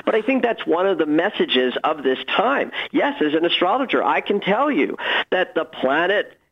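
A man speaking as a guest astrologer in a conversational radio interview, explaining things in an animated, emphatic way with expressive phrasing. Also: high voice, moderate pace, breathy edge, highs cut off.

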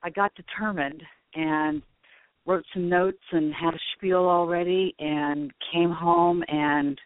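A woman's voice: lowish voice, steady tone, takes it slowly, no echo. A woman calling in to a radio show, chatty and grateful, laughing often. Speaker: caller. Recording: tinny phone line.

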